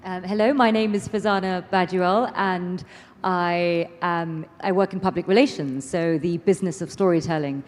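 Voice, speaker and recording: A woman's voice: lowish voice, steady tone, normal speed, a little mellow. A woman panelist speaking politely and formally on stage in a large hall. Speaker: panelist, public relations professional. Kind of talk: polite, formal self-introduction. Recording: reverberant hall, stage sound.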